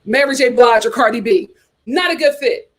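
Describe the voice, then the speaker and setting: A woman talks through reality TV gossip for her channel. She is animated and emphatic, full of "you know" asides, and her voice sits fairly high. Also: moderate pace. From New York. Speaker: host of a reality TV chat channel. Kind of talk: casual reaction chat reading viewer comments.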